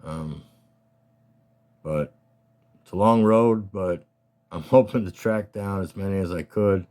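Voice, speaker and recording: A man with a slightly scratchy voice, casually and haltingly talking through his collection as a hobbyist host. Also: sits low, darkish tone. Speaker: collector hosting a card showcase. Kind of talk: casual rambling show-and-tell talk.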